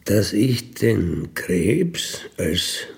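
An older man with an Austrian accent telling a reflective personal story in a halting, colloquial way with little questioning tags. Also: lowish voice, big pitch swings, vocal fry, a little mellow. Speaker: former prisoner telling their life story. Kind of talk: reflective autobiographical monologue.

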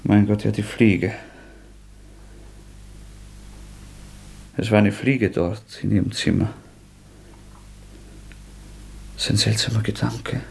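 A feverish young killer, gravelly and husky, bitter and scornful. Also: male voice, on the low side, fairly even intonation, fairly quick, heavily acted. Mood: brooding, scornful. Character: tormented young male murderer, feverish, bitter.

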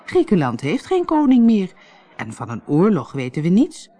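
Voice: medium pitch, huge pitch swings, normal speed, dark tone, voice pushed up. A storyteller voicing a kind, friendly young village girl who speaks plainly, in a storybook reading. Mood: matter-of-fact. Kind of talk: storybook character voice.

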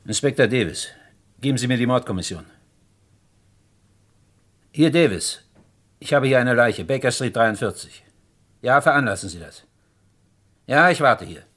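An actor playing a composed, authoritative police inspector in a radio crime drama, matter-of-fact and commanding. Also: male voice, mid-range voice, lively intonation, normal speed, long pauses, a bit bright. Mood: businesslike. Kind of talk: 1950s-80s German radio crime drama acting.

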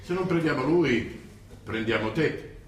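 An actor playing a wily, unctuous older cardinal in an Italian radio drama, measured and preachy. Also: male voice, mid-range voice, animated, close to an everyday voice. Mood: coolly persuasive. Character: wily, unctuous high-ranking cardinal, male, older, coolly manipulative. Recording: echoey stage recording, distant mic, hiss.

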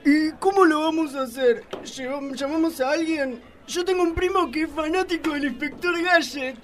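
A man's voice: very high voice, moderate pace, a bit bright, slight background noise. A man playing a dim, hesitant small-town police chief in a radio play, unsure and naive. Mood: naive, eager. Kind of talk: live radio play acting.

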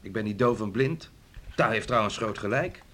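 A man's voice: lowish voice, animated, normal speed, fairly bright tone. An actor playing a cheeky, teasing son in a radio drama, joking at his family's expense. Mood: sardonic.

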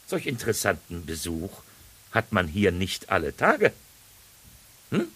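An actor playing a sly cannibal monster in a radio drama, questioning victims with mock courtesy and a husky, theatrical, insinuating delivery. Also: male voice, deep voice, wildly swooping pitch, measured pace, fairly bright tone, exaggerated voice. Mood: mock-courteous. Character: sly cannibal monster who politely interrogates his victims.

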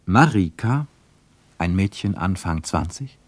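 A man narrating a literary radio play with expressive, deliberate phrasing. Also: low-pitched, no echo.

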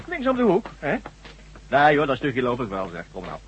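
A man's voice: medium pitch, wildly swooping pitch, moderate pace. A man playing a chummy, reassuring colleague in a radio drama, breezy and colloquial. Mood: jovial. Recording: muffled, noisy old radio recording.

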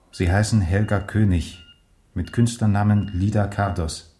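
Very deep, measured police major. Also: male voice, warm tone. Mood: formal, calm. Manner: measured, matter-of-fact, dryly weary. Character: calm, methodical male police major. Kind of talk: 1980s German radio drama acting.

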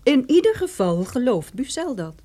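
An actress playing a brisk, stern young female lawyer in a radio drama, speaking in a precise, businesslike way. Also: sits high, big pitch swings, warm tone. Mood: cool, official. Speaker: supporting actress. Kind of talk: radio detective drama acting.

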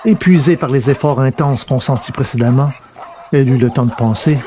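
A man's voice: mid-range voice, a little fast, background noise. A man reading a scripted science fiction adventure as narrator.

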